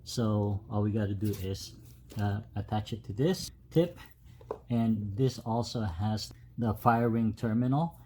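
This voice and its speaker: A man giving a casual, unscripted step-by-step tutorial as a DIY host, with halting delivery. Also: lowish voice, darkish tone. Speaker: host of a DIY truck modification video. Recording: clear background noise, ambient sound.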